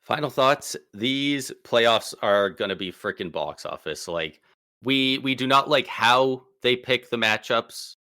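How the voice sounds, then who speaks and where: A man co-hosting a casual sports podcast, excitable and slangy, speaking with emphatic bursts. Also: mid-range voice, a little slow, dry recording. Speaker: podcast co-host.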